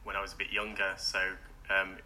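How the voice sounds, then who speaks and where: A man's voice: deep voice, completely flat delivery, brisk, noisy background. A man who grew up with British Sign Language as his first language, talking casually as a studio guest and often hesitating with ums and restarts. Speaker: event organizer, guest. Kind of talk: casual interview chat. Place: radio studio.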